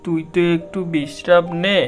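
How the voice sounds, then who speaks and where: A man acts out an old village grandfather from a cartoon tale. He sounds frail and caring, a little high in pitch. Music sits behind the voice. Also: fairly even intonation, normal speed, close to an everyday voice. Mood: concerned, loving. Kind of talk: cartoon story dialogue.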